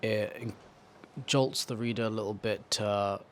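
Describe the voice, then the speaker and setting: A man in a group discussion, talking hesitantly with many pauses while giving his impressions of a poem. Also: fairly low pitch, steady tone, slight background noise. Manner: hesitant, many fillers, playful. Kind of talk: casual discussion. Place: community space.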